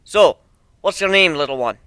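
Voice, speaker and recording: A man's voice: on the high side, expressive, moderate pace, clean tone, dry recording, studio quality. Man doing a folksy, drawling old worker. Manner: drawling, loud and homespun. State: a bit hard of hearing. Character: folksy old male machine worker, kindly.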